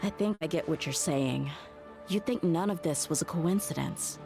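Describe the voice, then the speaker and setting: A tough mercenary woman from a video game. Her voice sits fairly low, and she talks frankly and steadily, like a loyal bodyguard. Light music runs underneath. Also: normal speed, vocal fry. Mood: puzzled.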